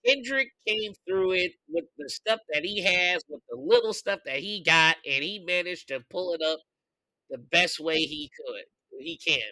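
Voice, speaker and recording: A man hosting a podcast, congested from a recent illness, venting his opinions in a loose, profane, animated way. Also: sits high, normal speed, no echo, low-quality recording. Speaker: podcast host. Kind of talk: casual opinion commentary.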